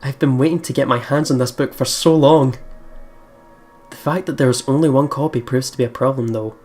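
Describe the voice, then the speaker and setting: A man's voice: medium pitch, fairly even intonation, fairly quick, a little mellow. A man reading a visual novel aloud and reacting in a playful, chatty way, often repeating words. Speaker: let's player reading and reacting. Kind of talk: playful let's play commentary and read-aloud narration. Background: game music.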